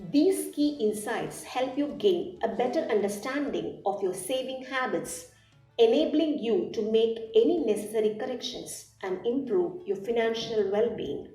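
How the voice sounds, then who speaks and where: A woman reading a scripted product tutorial as narrator, in a measured, instructional way. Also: a little high, a little mellow. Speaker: app demo narrator. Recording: roomy echo, distant mic, music behind. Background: music.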